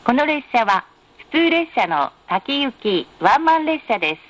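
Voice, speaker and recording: A woman reading scripted in-car train announcements in a formal, polite, measured way with careful enunciation. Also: on the high side, very animated, fairly bright tone. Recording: noisy train announcement recording.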